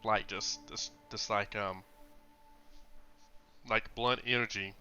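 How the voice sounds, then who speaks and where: A man narrating as game master for a tabletop game, pausing often with fillers as he checks the rules. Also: fairly low pitch, steady tone, bright, forceful tone, smooth, lo-fi sound, faint music behind. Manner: halting, many fillers and restarts while checking rules.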